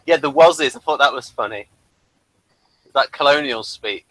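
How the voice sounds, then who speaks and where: A man's voice: medium pitch, normal speed, a bit bright, no echo, rough recording. A man chatting casually as a podcast co-host. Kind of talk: casual chat.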